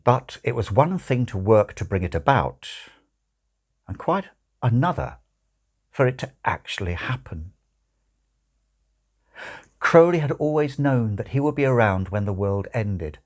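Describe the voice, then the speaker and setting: A man narrating a comic novel as an audiobook, with wry, expressive storytelling. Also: mid-range voice. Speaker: audiobook reader.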